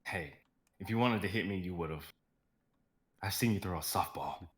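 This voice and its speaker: A man's voice: deep voice, moderate pace, reverberant, lightly acted. Man reading a patient, soothing assistant. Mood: gentle. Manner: calm, gentle, soothing. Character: patient male assistant to a star, steady. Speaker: actor in a live script reading. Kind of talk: amateur dramatic reading.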